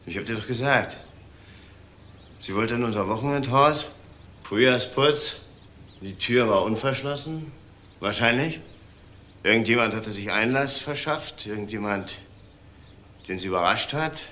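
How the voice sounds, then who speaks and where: An actor playing a middle-aged widowed husband in a crime drama, measured, halting and evasive. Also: male voice, medium pitch, sharp tone. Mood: subdued, hesitant. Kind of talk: German television crime drama acting.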